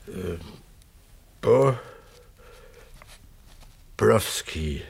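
An actor playing a taciturn, plain-spoken mine worker in his fifties in a 1970s German radio play, terse and unhurried. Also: low-pitched, creaky, slightly rough, heavily acted. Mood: reserved. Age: 50s. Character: taciturn, plain-spoken male mine pump attendant of fifty-eight, amateur writer.

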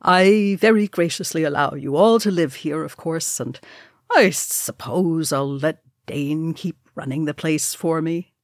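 A woman's voice: low voice, unhurried, no room sound, studio quality. A woman voicing a young male dwarf warrior in a storybook reading, hearty, bragging and teasing. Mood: merry, teasing.

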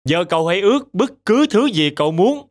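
A man playing a giant, obliging genie in a children's animated fairy tale. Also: sits high, brisk. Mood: elated, grateful. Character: giant male genie of the lamp, booming and obliging.

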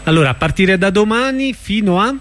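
Radio host, man, slightly high voice, conversational interviewing with short prompts. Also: expressive, fairly quick, a bit bright.

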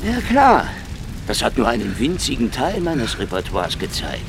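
A man's voice: medium pitch, huge pitch swings, moderate pace, gravelly, noisy background. A man playing a hardboiled, cocky Soviet secret police investigator in a radio crime drama, with a sardonic, menacing, taunting manner. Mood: taunting menace. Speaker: lead actor and first-person narrator.

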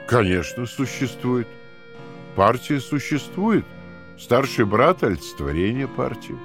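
An actor playing a calm, condescending party interrogator who lectures in a measured, fanatical way in an audio drama. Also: male voice, medium pitch, faint music behind. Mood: cold, patronizing. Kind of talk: dramatic audio play acting.

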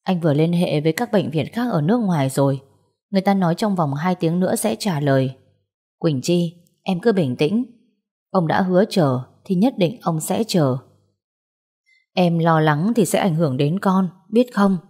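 A steady, protective young husband, voiced by a female narrator in an audio story. He speaks in a fairly low, measured way, reassuring and quietly stern. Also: breathy edge.

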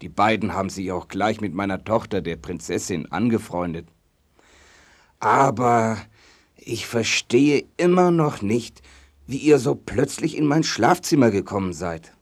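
Fairy-tale king, low voice, weary, kindly, puzzled, children's audio drama. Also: animated, unhurried. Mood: puzzled, explaining. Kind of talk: German children's audio play acting.

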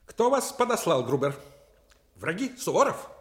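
A man's voice: sits high, big pitch swings, moderate pace, reverberant. A male actor playing a hot-tempered, erratic Russian emperor who barks orders imperiously in a theatrical historical radio drama. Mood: suspicious, distracted. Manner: imperious, explosive, barking orders.

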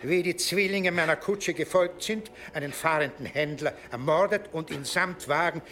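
An actor in a radio play playing a powerful medieval archbishop, accusing and mocking in a deliberate manner. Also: male voice, fairly high pitch, a bit bright, natural voice. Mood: cold, triumphant.

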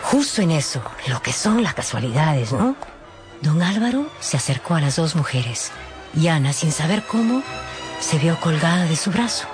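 A woman's voice: deep voice, big pitch swings, normal speed, over music. A woman narrating a dramatized radio audiobook expressively, with conversational asides.

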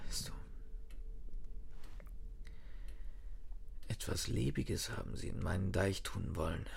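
An actor in a German radio play playing a proud, obsessive dikemaster, gravelly, brooding and hushed. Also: male voice, deep voice, moderate pace, whispered. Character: proud, obsessive dikemaster confiding in his wife.

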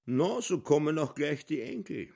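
An actor playing a jovial, boozy cobbler in a German radio play, chummy and a little self-important. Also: male voice, fairly high pitch, very animated, normal speed, gravelly, a little mellow, slightly rough, exaggerated voice. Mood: jovial, pompous.

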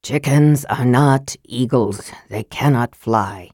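A woman plays a frail, irritable mage from a fantasy storybook. The voice sits low and mutters with scathing, sardonic bitterness, close and dry on the recording. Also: takes it slowly, darkish tone, dry recording, heavily acted. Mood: flat, weary.

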